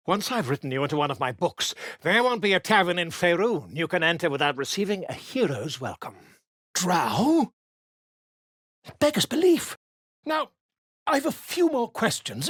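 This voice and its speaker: Video game role: a fussy, pompous chronicler. Theatrical and wordy, with a husky voice and lively pitch. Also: male voice, dry recording, studio quality, heavily acted. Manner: theatrical, florid, wordy and wheedling. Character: pompous, flamboyant male author and chronicler, inquisitive and fussy.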